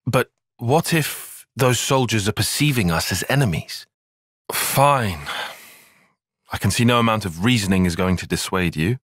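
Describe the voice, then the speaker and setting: A young tactician for a video game, dryly critical and analytical. His voice has a slightly husky edge and a lively, shifting pitch. The diction is precise and formal, and the sound is dry and close. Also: normal speed, no echo. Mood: grave, analytical. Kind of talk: video game cutscene voice acting.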